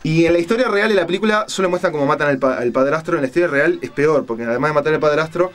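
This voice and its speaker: Halting Uruguayan man, slightly high voice. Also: fairly bright tone. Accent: Uruguayan Spanish. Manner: halting, colloquial, searching for words. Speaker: radio show host. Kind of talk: casual on-air chat, retelling a film and crime story.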